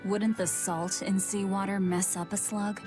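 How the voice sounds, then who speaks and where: A woman's voice: mid-range voice, flat delivery, unhurried, over music, close to an everyday voice. An actress playing an aloof, blunt young woman in a video game, with a dry, indifferent delivery. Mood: cool.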